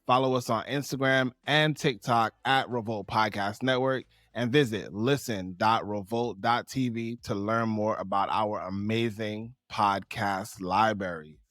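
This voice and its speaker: A man's voice: lowish voice, fairly even intonation, unhurried, hardly any pauses, fairly bright tone, no echo, clean studio sound. A man from New York and Barbados hosting a podcast interview in an upbeat, promo-style patter. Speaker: podcast host.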